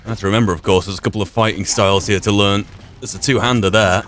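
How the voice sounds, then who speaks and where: A man's voice: fairly low pitch, fairly even intonation, quick pace, fairly bright tone. A man giving casual, understated commentary on his video game playthrough, thinking aloud as he plays. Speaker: gamer, playthrough host. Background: game music.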